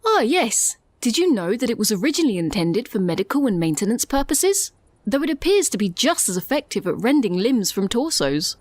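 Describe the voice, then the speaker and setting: A woman's voice: fairly high pitch, big pitch swings, fairly quick, darkish tone, no echo. A woman voicing a polite robot servant in an audio drama, with prim, formal, over-precise phrasing. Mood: polite, chipper.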